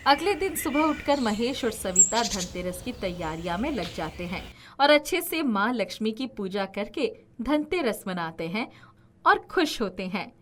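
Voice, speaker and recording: A woman's voice: a little high, big pitch swings, measured pace, a bit bright, slight background noise. A woman narrating a scripted children's story as the narrator.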